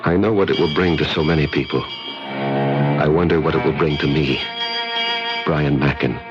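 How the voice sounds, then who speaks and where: Very deep American traveler, old radio. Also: male voice, steady tone, normal speed. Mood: reflective, brooding. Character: determined, skeptical, friendly American traveler Brian. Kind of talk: radio drama acting with brooding inner monologue. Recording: muffled old radio recording, music behind.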